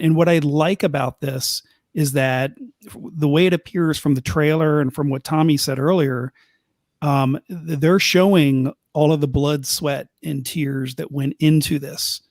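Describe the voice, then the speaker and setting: A man's voice: on the high side, darkish tone, no room sound, studio quality. A man co-hosting a sports podcast who talks emphatically and repeats himself for stress. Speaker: podcast co-host. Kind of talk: casual sports podcast chat.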